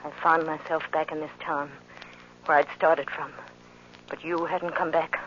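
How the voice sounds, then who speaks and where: A woman's voice: sits low, level tone, a little slow, a bit bright. An actress playing a grief-stricken, vengeful young widow in 1940s radio drama, intense and dramatic, repeating her phrases obsessively. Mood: bitter, haunted. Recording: muffled, noisy old radio recording.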